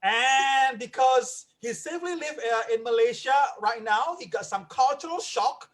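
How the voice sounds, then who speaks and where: A man hosting a live stand-up comedy open mic in an excitable, animated way. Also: very high-pitched, unhurried. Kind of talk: live comedy show emceeing.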